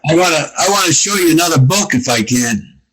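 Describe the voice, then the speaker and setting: A man appears as a comedian guest on a casual podcast, rambling and stumbling over his words as he raves about favorites. His voice is slightly raised in pitch. It has a husky, breathy edge. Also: expressive, a bit bright, rough recording. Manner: rambling, halting with fillers, enthusiastic.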